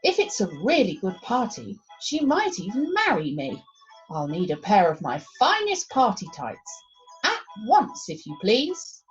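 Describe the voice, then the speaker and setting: A grand, excitable storybook king, acted out by a woman reader. The delivery is slow and declamatory, with big swoops in pitch. The recording sounds slightly dull. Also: faint music behind. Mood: grand, pleased. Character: pompous, excitable king, grand and easily delighted.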